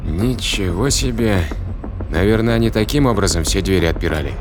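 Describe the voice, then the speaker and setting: An actor playing an eager spaceship researcher in a science fiction audio drama, speaking in a colloquial, commanding way. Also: male voice, low voice, fairly quick, background noise, close to an everyday voice. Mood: thoughtful, offhand. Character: eager male spaceship researcher running an animal experiment. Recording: music behind the voice.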